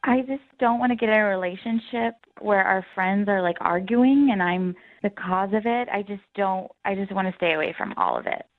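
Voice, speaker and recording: A woman's voice: medium pitch, moderate pace, few pauses. A young woman talking casually and explaining herself as a guest on a radio phone-in. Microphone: phone call. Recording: thin, band-limited phone line.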